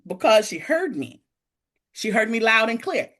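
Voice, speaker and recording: Animated woman in her forties telling a story. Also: on the high side, a little slow. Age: 40s. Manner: animated, acts out quoted voices. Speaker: podcast guest. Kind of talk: casual storytelling chat.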